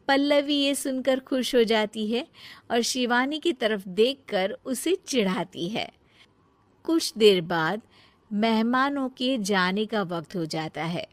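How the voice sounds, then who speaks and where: A woman's voice: a little high, expressive, takes it slowly, no room sound. A woman reading scripted narration for a cartoon moral story.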